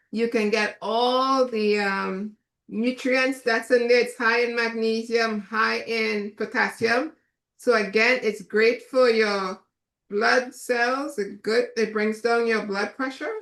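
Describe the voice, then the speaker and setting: A Trinidadian woman chef giving a relaxed, chatty cooking demonstration, with many fillers. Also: a little high, roomy sound.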